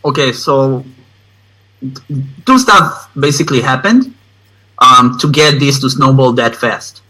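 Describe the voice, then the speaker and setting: Bright-voiced man with an Israeli accent, emphatic. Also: medium pitch, takes it slowly, low-quality recording. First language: not English, which is a third language. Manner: emphatic, repeats phrases for stress. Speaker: interview guest, online advertising student. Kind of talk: informal interview testimonial.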